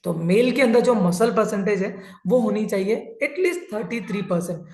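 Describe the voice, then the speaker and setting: A man's voice: sits high, moderate pace, darkish tone. Man explaining health in a roomy echo. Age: 30s. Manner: plain, patient explaining with many English terms. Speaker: fitness and health coach.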